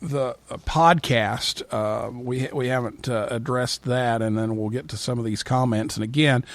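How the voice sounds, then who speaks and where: A man's voice: mid-range voice, steady tone, normal speed, no room sound. A man hosting a live call-in show, speaking as the presenter. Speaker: podcast and radio show host.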